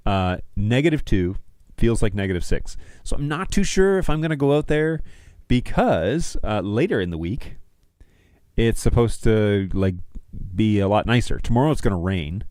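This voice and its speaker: A man's voice: fairly low pitch, a little mellow, no echo. A man in his thirties hosting a casual livestream, chatting to viewers in an easygoing, slangy, jokey way at a close studio mic. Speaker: livestream host. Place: small home studio room indoors. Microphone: close studio mic on a stand.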